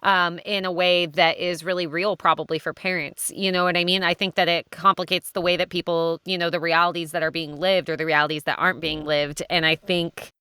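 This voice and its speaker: A woman's voice: lowish voice, little pitch movement, fairly quick, hardly any pauses, pushed and bright, clear, resonant tone, dry recording, studio quality. A woman chatting casually in a cast discussion, speaking emphatically and padding her points with filler phrases. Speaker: cast member.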